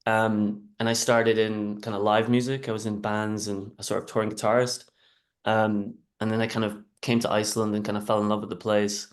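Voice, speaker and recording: An Irish man introducing himself on a panel in a relaxed, hesitant way with many fillers, talking over a video call. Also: fairly low pitch, fairly quick. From Ireland. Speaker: music publisher panelist.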